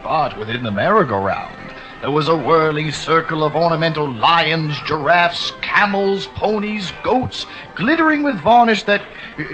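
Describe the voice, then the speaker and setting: Man reading a story out loud, expressive, voice jumps all over, music playing behind. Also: a little high, low-quality recording. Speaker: audiobook narrator. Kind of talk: scripted narration.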